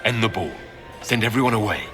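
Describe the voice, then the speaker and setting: An actor playing a composed, dryly sardonic Inquisitor in a fantasy video game. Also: male voice, lowish voice, animated, quick pace, music in the background, natural voice.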